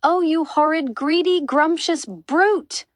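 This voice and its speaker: Woman as a high, indignant hippopotamus. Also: takes it slowly, no echo, studio quality. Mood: appalled. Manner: exclamatory, high and emphatic. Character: fussy, indignant hippopotamus, friendly but easily appalled.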